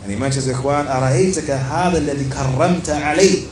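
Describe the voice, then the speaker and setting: A man's voice: medium pitch, racing. A man giving an Islamic religious lecture as a teacher, explaining a text emphatically and repeating key phrases for stress as he addresses his listeners. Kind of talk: religious lecture, reading aloud from a book and explaining it. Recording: echoey room with faint background noise.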